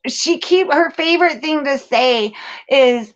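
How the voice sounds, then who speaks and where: A woman's voice: sits high, takes it slowly, lo-fi sound. A woman venting candidly and casually as host, blunt and profane, leaning hard on repeated phrases for emphasis. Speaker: host of a live commentary show.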